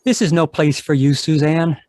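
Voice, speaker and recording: A man's voice: on the high side, normal speed, darkish tone, no room sound, natural voice. A man playing an earnest, pleading young gold prospector in love, in old-time radio drama style. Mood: pleading, smitten.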